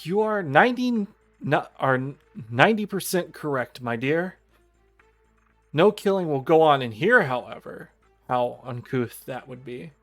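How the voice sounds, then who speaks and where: A man's voice: fairly high pitch, lively intonation, measured pace, a bit bright. A man voicing a prim, condescending female arbiter of a deadly game, reading a visual novel aloud in formal, theatrical tones. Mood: prim, haughty. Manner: theatrical, formal, mock-refined. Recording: music behind the voice.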